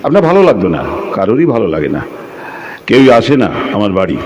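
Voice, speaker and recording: An actor playing a reclusive, brooding lighthouse keeper in a Bengali audio drama, speaking deliberately with dramatic pauses. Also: male voice, on the low side, very animated. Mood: bitter, brooding. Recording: clearly noisy background ambience.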